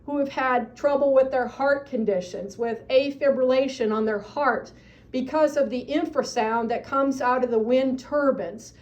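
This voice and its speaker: A woman's voice: high-pitched, a little slow, a little mellow, highs cut off. A woman speaking earnestly at a county meeting, pressing officials to act. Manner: earnest, persuasive advocacy. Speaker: citizen addressing county supervisors. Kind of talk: public comment at a meeting.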